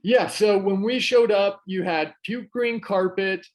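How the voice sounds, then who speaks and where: A man's voice: high voice, a little slow. A man who is a guest on a podcast, telling a story casually with plenty of fillers and restarts. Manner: chatty, many fillers and restarts. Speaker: podcast guest, real estate investor.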